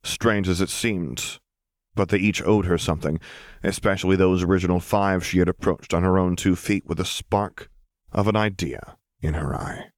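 Male audiobook narrator, low register, dry studio-close sound, measured and dramatic delivery of fiction. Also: fairly even intonation, no room sound. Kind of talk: scripted story narration.

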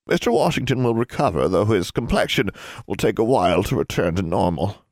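Brisk, formal school nurse, a woman acted by a male reader, raised pitch, lively intonation. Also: dry recording, clean studio sound. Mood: matter-of-fact. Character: brisk, matter-of-fact female school nurse.

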